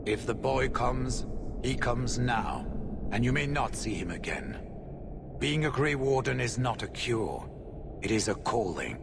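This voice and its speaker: A man's voice: mid-range voice, animated, unhurried, gravelly. A man playing a grave, formal veteran warrior of a solemn order in a fantasy game, with noisy ambience around him. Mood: grave, firm. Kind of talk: cinematic fantasy game dialogue.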